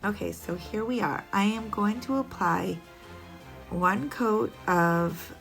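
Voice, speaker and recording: A woman's voice: mid-range voice, slow pace, creaky, music in the background. A woman narrating a casual nail art tutorial, chatty and rambling with little laughs, a bit sniffly.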